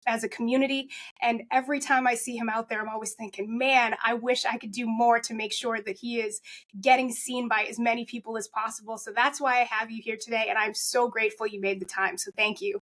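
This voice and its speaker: A woman's voice: a little high, a bit bright. A woman hosting a podcast interview, effusive and full of praise for her guest. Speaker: podcast host.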